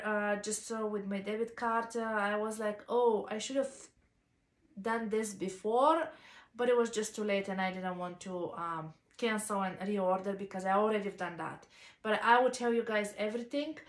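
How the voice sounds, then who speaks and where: A woman's voice: medium pitch, echoey room. A woman in her thirties from Bulgaria, with a Bulgarian accent, chatting casually in a home fashion vlog, full of ums and uhs. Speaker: fashion vlogger. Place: small living room at home. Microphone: camera's built-in mic, a few feet away.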